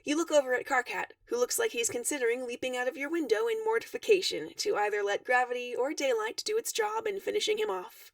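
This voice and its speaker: A woman giving an expressive, animated read-aloud of a story, acting out the dialogue. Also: high-pitched, fast, creaky, hardly any pauses, no echo, recorded from a distance. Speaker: fan fiction reader.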